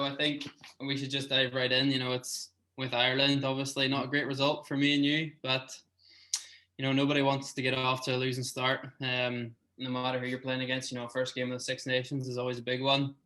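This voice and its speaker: A man co-hosting a sports podcast in relaxed chat, often saying "you know". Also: mid-range voice, brisk. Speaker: podcast co-host.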